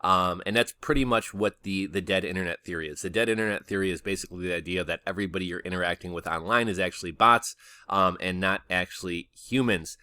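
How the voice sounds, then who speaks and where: A man with a fairly low voice and a bright, forceful edge. He delivers casual news commentary as a host, conversational and opinionated with a skeptical bent. The sound is dry and close. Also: quick pace, no echo.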